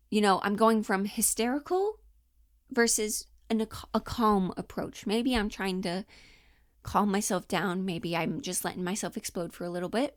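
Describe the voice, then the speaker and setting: Brief: female acting coach, twenties, informal and animated, mid-pitched voice, dry recording. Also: dry recording. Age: 20s. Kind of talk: casual instructional talk.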